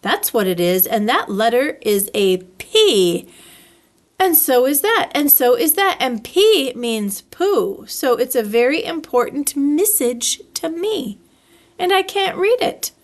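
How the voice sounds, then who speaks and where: A woman voicing a simple, earnest little male bear from a children's storybook, reasoning slowly aloud to himself. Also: high voice, big pitch swings, heavily acted. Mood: puzzled, musing. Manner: slow-witted, deliberate, talks things through to himself.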